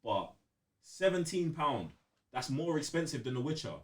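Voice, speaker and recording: A man's voice: fairly high pitch, expressive, moderate pace, recorded from a distance. A man chatting casually on a gaming podcast, using plenty of slang and repeating himself. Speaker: podcast co-host.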